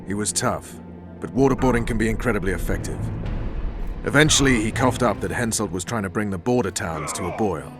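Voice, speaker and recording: An actor playing a hard, blunt army captain and spymaster in a video game, sardonic and menacing. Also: male voice, lowish voice, brisk, lightly acted. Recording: game music behind the voice.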